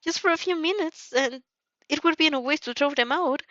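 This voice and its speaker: A woman's voice: high voice, a little fast, fairly bright tone, no echo, muffled recording, voice pushed up. A woman voicing a sweet, shy teenage anime girl with an embarrassed, hesitant delivery, in a fan fiction reading. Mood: bashful, pleading.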